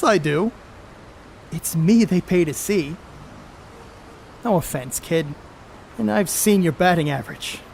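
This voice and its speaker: A man's voice: sits high, vocal fry, a little hiss or hum, full character voice. A man on stage playing a brash, cocky 1930s baseball star who blusters in folksy, profane slang. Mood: boastful. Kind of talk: amateur stage play acting.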